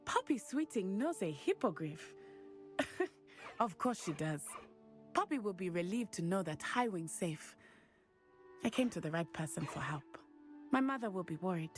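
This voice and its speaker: A woman's voice: fairly low pitch, very animated, moderate pace, long pauses, soft background music. A woman playing a bold, self-assured teenage witch schoolgirl in a video game, confident and playful. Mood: brisk, confident.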